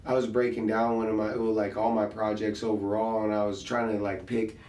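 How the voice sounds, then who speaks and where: A man hosting a casual conversation about music, talking in a relaxed, slangy way and thinking his ideas through out loud. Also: on the low side, fairly quick. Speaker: podcast host and rapper. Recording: roomy sound, mic set at a distance.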